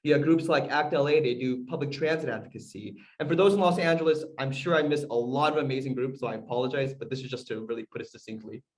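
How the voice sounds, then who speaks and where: A man's voice: mid-range voice, fast, few pauses, low-quality recording. Conversational man giving a talk. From United States. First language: Korean. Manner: conversational, asks the audience questions, frequent fillers. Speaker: community organizer. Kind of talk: conference talk on a video call.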